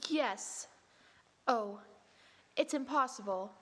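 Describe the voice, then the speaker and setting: An actress playing a distressed young woman who speaks in a halting, hesitant way. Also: fairly high pitch.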